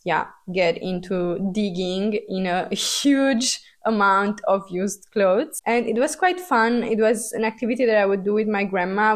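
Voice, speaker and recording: A young woman from Romania hosts a casual, personal podcast monologue, thinking aloud in a conversational way as a Romanian speaker. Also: mid-range voice. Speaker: podcast host.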